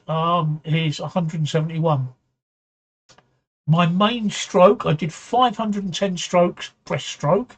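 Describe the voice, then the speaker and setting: Hoarse, husky man chatting on a stream. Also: sits high. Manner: halting, repeats words, often clears throat. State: voice getting hoarse. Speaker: livestream talk show host. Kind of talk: casual livestream chat answering viewer comments.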